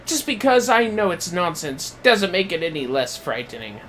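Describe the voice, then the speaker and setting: A man's voice: sits high, sing-song, moderate pace, fairly bright tone, a little hiss or hum, pitched above the performer's own voice. A man voicing a jumpy, fussy villager in a fantasy game, flustered and pompous. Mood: startled, indignant.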